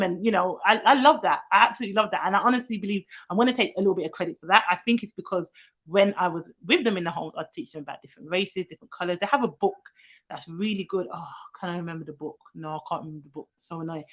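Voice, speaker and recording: Woman nanny rambling on a livestream, mid pitch, kinda muffled lo-fi sound. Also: a bit bright. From England, grew up in London. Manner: chatty and rambling, many fillers and restarts; lifts her voice high to quote children. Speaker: nanny hosting a live stream. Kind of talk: casual live-stream chat to viewers. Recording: slightly dull lo-fi live-stream audio.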